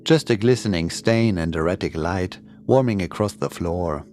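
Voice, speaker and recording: A man's voice: deep voice, moderate pace, darkish tone. A man reading a scripted horror story as its narrator.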